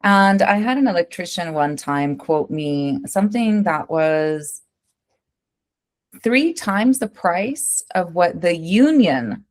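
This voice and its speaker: An American woman working as an interior designer, answering interview questions in a lively, conversational way and often checking in with "right?". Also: fairly low pitch. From United States. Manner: animated, keeps asking "right?", tells stories.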